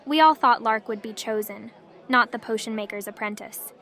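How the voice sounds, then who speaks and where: A quiet young keeper from a fantasy audio drama, played by a woman. Her voice sits a little high and she speaks politely, with reserve. A light crowd murmurs in the background. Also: moderate pace. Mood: earnest. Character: young, quiet, modest elven village keeper, female. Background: festival crowd ambience.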